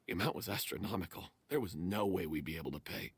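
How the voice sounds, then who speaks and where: A man's voice: deep voice, fast talker, husky, no room sound, full character voice. A man playing a guilt-ridden member of a theater troupe, speaking in remorseful, dramatic confession. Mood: anxious, confessional. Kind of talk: dramatic voice acting.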